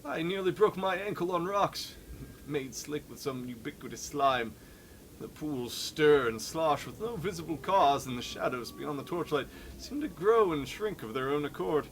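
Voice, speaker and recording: A man's voice: on the high side, expressive, moderate pace, a little hiss or hum. A man voicing a fussy, troubled adventurer who is turning into a sea creature, reading a game journal theatrically. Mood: uneasy, fastidious. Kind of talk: dramatic read-along of game journal.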